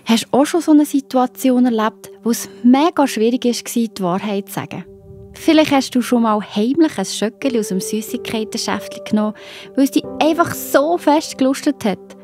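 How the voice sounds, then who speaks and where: Female storyteller, Swiss German accent, slightly high voice, expressive scripted children's narration, addressing kids directly, faint music behind. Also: normal speed.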